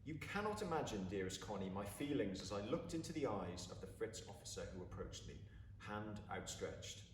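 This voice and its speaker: A man reading a story aloud with expressive, deliberate, dramatic phrasing. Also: lowish voice. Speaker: story reader. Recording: roomy, distant mic, faint background noise.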